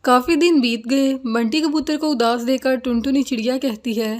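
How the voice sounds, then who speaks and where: High-pitched woman narrating a cartoon story. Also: normal speed.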